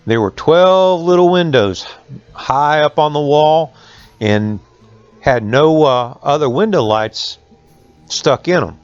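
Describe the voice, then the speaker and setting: A man with a Southern Appalachian American accent telling stories to children in a folksy, conversational way with dramatic pauses. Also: a little high, animated, smooth, no echo, dull audio. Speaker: school resource officer reading folk tales to students.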